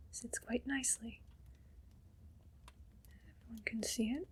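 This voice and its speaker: A woman playing a haughty, condescendingly kind evil queen in an ASMR roleplay, speaking in a breathy whisper with slow, deliberate, imperious delivery. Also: on the high side, full character voice. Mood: condescending, pleased.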